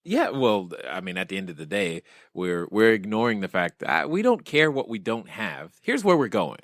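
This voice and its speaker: A male podcast host with a low voice that rises and falls a lot. He chats easily and laughs often, recorded dry and close. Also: moderate pace, dry recording. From grew up in a military family. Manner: lively, conversational, laughs easily. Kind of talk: podcast interview.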